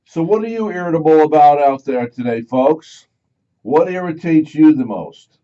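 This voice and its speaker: Radio host guy rambling on, jumps all over in pitch, bit muffled broadcast sound. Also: lively intonation, normal speed, dark tone. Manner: rambling, jokey, acts out quotes of other people. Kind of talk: chatty radio talk, storytelling. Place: radio studio.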